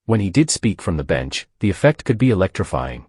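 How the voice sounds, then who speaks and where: A man with a low, husky voice narrates a news story in a scripted read, his intonation rising and falling with a lot of movement. The sound is close and dry, with no room around it. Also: sing-song, brisk, darkish tone, no room sound.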